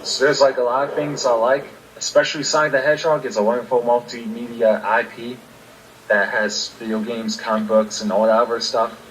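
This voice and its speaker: A man in his twenties from Maryland, talking about himself as a podcast guest, halting and leaning on fillers like "basically.". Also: medium pitch, level tone, fairly bright tone, rough recording. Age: 20s. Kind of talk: casual interview.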